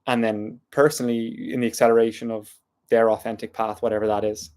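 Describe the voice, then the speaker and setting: A man's voice: medium pitch, flat delivery, fairly quick, a little mellow, clean tone. An Irish man talking through an unscripted, reflective vlog monologue in a thoughtful, meandering way. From Ireland. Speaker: vlogger.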